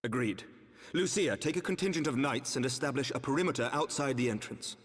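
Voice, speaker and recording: Formal knight commander, reverberant hall. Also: male voice, mid-range voice, brisk, fairly bright tone, slightly rough. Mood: commanding. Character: wounded, resolute knight lord commander, gravely courteous. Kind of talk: dramatic game cutscene acting.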